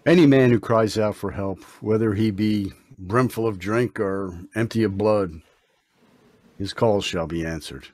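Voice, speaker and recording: An idealistic young intern doctor played by a man in an old-time radio drama. He speaks earnestly and formally, with gentle solemnity, in a dry, close recording. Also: fairly low pitch, no room sound, lightly acted. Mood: solemn, pleading. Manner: earnest, formal, measured phrasing.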